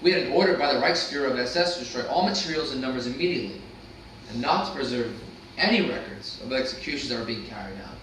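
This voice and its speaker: Stage drama: man as unrepentant Nazi officer, clipped and formal, recorded from a distance with clear background noise. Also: medium pitch, animated, fast, fairly bright tone, lightly acted. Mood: matter-of-fact. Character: unrepentant former Nazi camp commandant on trial, matter-of-fact. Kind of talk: staged play reading. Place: indoor room at a live staged reading, distant mic. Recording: very echoey, noisy room, distant mic.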